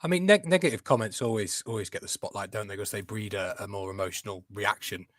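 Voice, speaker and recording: Fast-talking Yorkshire man, dry sound. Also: lowish voice, no echo. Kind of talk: casual chat.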